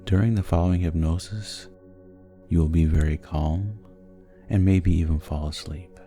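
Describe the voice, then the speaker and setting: Male sleep hypnosis narrator, very low and gravelly, soothing and measured, over faint music. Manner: soothing, gentle, measured delivery. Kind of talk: sleep hypnosis guided meditation, scripted narration.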